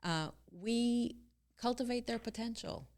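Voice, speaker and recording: A middle-aged woman from Israel, a company co-founder, talking earnestly and in a measured way as a guest in a casual interview. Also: fairly high pitch, a little mellow.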